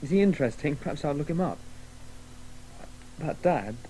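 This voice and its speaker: Mellow, hesitant young man, played by an actor. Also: on the high side, lively intonation, normal speed, a little hiss or hum, natural voice, rough recording. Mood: earnest, musing. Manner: hesitant, tentative, rambling. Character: earnest, bookish, dreamy son with a crazy scheme. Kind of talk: 1980s radio drama acting.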